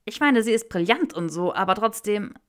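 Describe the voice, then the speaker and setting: A gossipy young male rebel who keeps blurting things out, with a voice pitched far above the reader's own, as a woman puts him on. Lively and eager, with a gravelly edge, he jumps between high excited bursts in this storybook reading. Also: normal speed, close to an everyday voice. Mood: eager gossiping.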